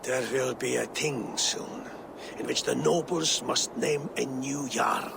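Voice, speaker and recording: An actor playing an aging, ailing Viking jarl in a video game, a proud father and clan leader with a gravelly, breathy voice. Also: medium pitch, steady tone, takes it slowly, background noise. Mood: weary, confiding. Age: older. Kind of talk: dramatic video game acting.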